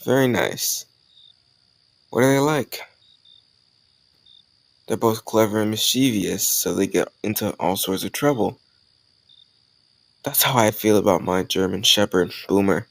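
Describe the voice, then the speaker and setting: Man performing a young male college student, easygoing, gently teasing, conversational, creaky low voice, intimate romance roleplay. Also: unhurried, long pauses, dry recording. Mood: friendly, teasing.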